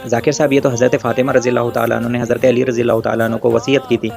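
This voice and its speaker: Man delivering a fast, taunting rebuttal. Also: mid-range voice, little pitch movement, gravelly, music in the background. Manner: taunting, confrontational, directly addressing an opponent. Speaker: religious debater giving a rebuttal. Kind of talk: argumentative rebuttal commentary.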